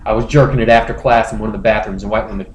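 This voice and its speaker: A man's voice: on the low side, steady tone, racing, lo-fi sound. A man hosting a comedy podcast, casually reading internet posts aloud with wry, crude asides. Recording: roomy echo, faint noise.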